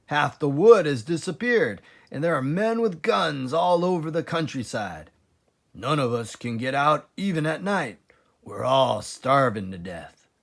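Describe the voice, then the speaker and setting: A man voicing an anxious, excitable father badger in a storybook, desperate and dramatic in manner. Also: fairly high pitch, measured pace, gravelly, exaggerated voice. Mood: alarmed. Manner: dramatic, exclamatory, fretful.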